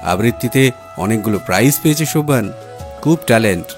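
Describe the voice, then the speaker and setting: Guy doing a cocky breezy businessman husband in an audiobook, pitch all over, music behind. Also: expressive, a little fast. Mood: coaxing, upbeat. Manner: breezy, self-assured, drops in English words. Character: confident, breezy, boastful young businessman husband.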